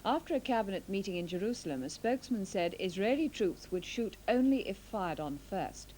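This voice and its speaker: A British woman reads a scripted news bulletin in a mid-range voice. She works as a newsreader, and the recording carries a faint rumbling noise underneath. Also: animated, fairly quick, darkish tone.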